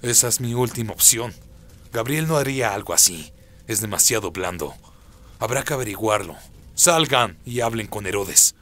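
Casting: man as fallen-angel lord of demons, radio play. Lowish voice, imperious, short on patience. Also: lively intonation, moderate pace, a bit bright. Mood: dismissive.

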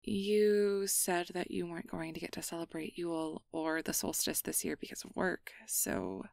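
A woman's voice: lowish voice, normal speed, vocal fry, darkish tone, no echo, clean studio sound. A woman playing an awkward, guarded but secretly tender young changeling in an ASMR roleplay, hesitant and stammering as she downplays things. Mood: shy, defensive.

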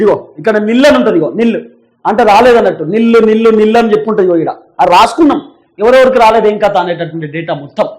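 A man's voice: very high voice, poor audio. A young man with a Telangana Telugu accent presenting news commentary straight to viewers in an emphatic, repetitive, insistent manner.

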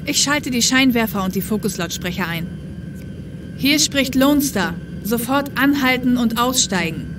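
A woman's voice: on the high side, lively intonation, unhurried, noisy background. A woman playing a tense police helicopter copilot in a German audio drama, with echo on the voice. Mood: focused. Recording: echoey sound with music behind.

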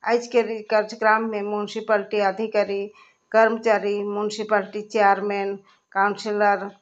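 A woman news reporter reads her report in a flat, level voice. The sound is dull and lo-fi. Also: mid-range voice, normal speed.

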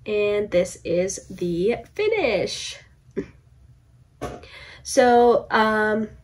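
A young woman with a congested, stuffy voice, chatting casually about her cross stitch projects from a home room, with lots of fillers and restarts. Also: mid-range voice. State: congested from a cold. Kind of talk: casual chat to camera.

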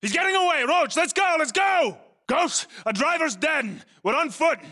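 An actor playing a tough, urgent special forces squad leader in a video game, giving clipped, commanding military orders. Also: male voice, extremely high pitch, lively intonation, normal speed, bright, forceful tone, exaggerated voice. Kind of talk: video game battle dialogue.

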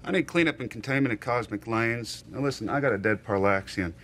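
A man playing a deadpan young secret agent with a drawl. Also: medium pitch, fairly quick, lightly acted. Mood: dry. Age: young adult.

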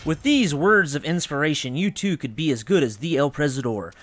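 A man's voice: a little high, lively intonation, a little fast, a bit bright. A man narrating a game video as a mock promotion, with tongue-in-cheek, reverent hype.

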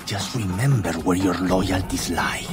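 A man's voice: sits low, unhurried, loud music behind the voice. A man playing a menacing, imperious villain in a video game. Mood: threatening.

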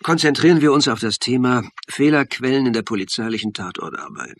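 An actor playing a shrewd, insistent college lecturer who narrates and acts in a German radio crime play, speaking deliberately and articulately. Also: male voice, mid-range voice, big pitch swings, vocal fry. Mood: matter-of-fact. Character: male college lecturer turned amateur sleuth, shrewd, insistent. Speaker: narrator and lead character.

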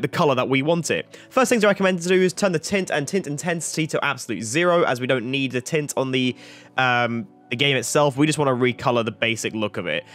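A man with a croaky voice giving a chatty tutorial voice-over, full of fillers like "like" and "uh". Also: medium pitch, fairly bright tone. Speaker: flight sim YouTuber.

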